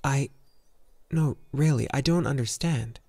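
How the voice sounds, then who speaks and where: A man's voice: medium pitch, a little slow, dark tone, voice pushed up. A man voicing an earnest, bewildered young hero in a fantasy audiobook, speaking in an urgent, questioning, emphatic way. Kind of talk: fantasy audiobook character dialogue.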